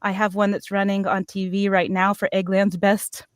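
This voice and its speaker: A woman's voice: medium pitch, little pitch movement, moderate pace, clean tone, no echo, clean studio sound. A middle-aged American woman telling personal stories casually, in a playful, chatty way that often interrupts itself. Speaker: voice actor on a cast panel.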